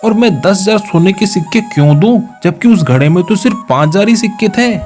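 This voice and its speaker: A man acts out a sly, greedy fellow in a children's moral tale. His pitch sits high and swings widely as he plays the innocent. Music runs behind him. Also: expressive, a little fast, a little mellow. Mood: flustered, protesting. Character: sly greedy male friend, feigning innocence and protesting. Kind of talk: children's moral storybook dialogue.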